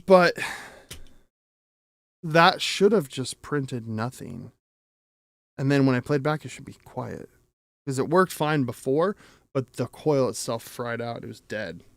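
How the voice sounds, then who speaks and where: A man's voice: medium pitch, animated, slow pace, creaky, long pauses, no echo. A man chatting casually as he tinkers on a livestream, thinking aloud in a rambling, self-interrupting way with casual swearing. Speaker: streamer and audio tinkerer. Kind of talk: casual livestream chat while repairing gear.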